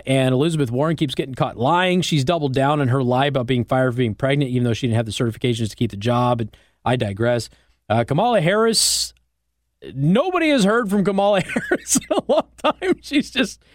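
A man's voice: mid-range voice, no room sound, studio quality. An American man hosting conservative political talk radio, chatting in a conversational way with sardonic asides. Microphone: close studio microphone.

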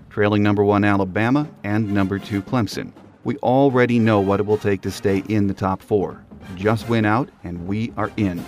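Male sports podcast host, slightly low voice, scripted delivery, some music behind. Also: darkish tone.

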